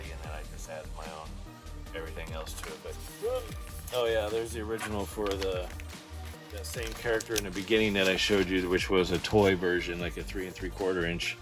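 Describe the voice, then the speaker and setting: A middle-aged man giving a casual, rambling commentary on artwork in an informal, halting, self-deprecating way. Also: deep voice. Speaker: artist showing his portfolio. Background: background music.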